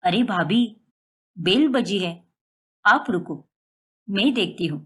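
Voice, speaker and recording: An earnest young bride-to-be in a cartoon melodrama, put on by a woman narrator. She speaks in a lowered register with determined, dramatic phrasing. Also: lively intonation, takes it slowly, breathy edge. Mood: helpful. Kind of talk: Hindi cartoon family melodrama acting.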